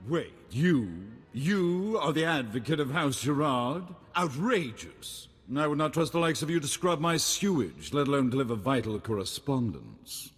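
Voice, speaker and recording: Snobbish game nobleman, husky voice, swooping haughty delivery, condescending, long hall reverb. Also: mid-range voice, sing-song, normal speed, hardly any pauses, faint music behind. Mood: haughty disdain. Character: pompous, sneering male aristocrat, easily outraged snob. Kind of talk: scripted video game voice acting.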